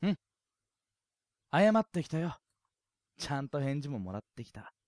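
Woman doing a laid-back joky high school guy, low voice that swings around a lot, dry close sound. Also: sing-song, unhurried, darkish tone, dry recording. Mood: wry. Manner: light, self-deprecating, joking. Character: easygoing, teasing high school boy, older club president. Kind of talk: anime-like Japanese audio drama acting.

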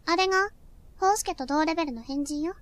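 A woman playing a brisk, sharp-tongued teenage senior schoolgirl in an anime-like audio drama, bossy and teasing. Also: extremely high pitch. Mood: brisk, explanatory.

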